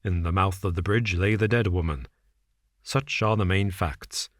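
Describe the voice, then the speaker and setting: A man playing a cool, deliberate detective. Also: dry recording, clean studio sound. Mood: measured. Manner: slow, deliberate, low and understated. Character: cool, shrewd male detective, measured and dry.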